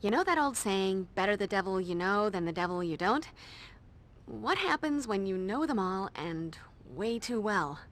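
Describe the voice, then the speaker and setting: A woman's voice: mid-range voice, lively intonation, moderate pace, close to an everyday voice. An actress playing a tough young female vampire slayer who talks in a dry, sarcastic, commanding way. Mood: wry. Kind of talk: video game voice acting.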